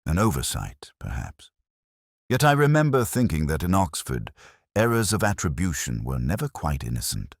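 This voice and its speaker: A man playing a brooding, cerebral older detective inspector, narrating a mystery in a measured, grave, literary manner for a radio drama. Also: deep voice, very animated, no room sound, studio quality. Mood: brooding, reflective.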